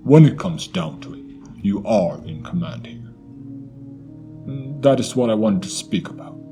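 Man voicing a low, bright-edged, steady tauren commander. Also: soft background music. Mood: probing. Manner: deliberate, formal phrasing. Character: steady, wise male tauren commander from Thunderbluff. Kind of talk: fantasy audio drama acting.

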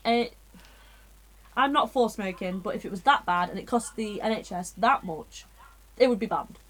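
A woman's voice: medium pitch, moderate pace, a bit bright, poor audio. A young woman co-hosting a casual podcast chat, rambling and digressing with frequent giggles. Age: young adult. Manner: rambling, self-interrupting, giggly. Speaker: podcast co-host.

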